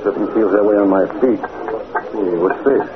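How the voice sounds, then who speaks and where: An actor playing a cocky, excitable, slangy newspaper reporter in 1940s radio drama style. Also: male voice, lowish voice, close to an everyday voice. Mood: suspicious. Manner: slangy, cocky, fast-talking. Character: brash wisecracking excitable male newspaper reporter. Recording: tinny, noisy old radio broadcast.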